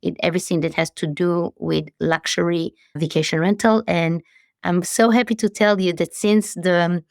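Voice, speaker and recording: Late-40s woman from israel, lowish voice, dry close sound, earnest chatty guest. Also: fairly even intonation, normal speed, dry recording. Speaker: CEO guest. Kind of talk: interview conversation.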